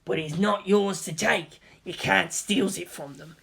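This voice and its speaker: Narrator doing a brutish orc, slow drawl, blunt, crude, tough mentor, fantasy story. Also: mid-range voice, steady tone, vocal fry, a bit bright. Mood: blunt lecturing.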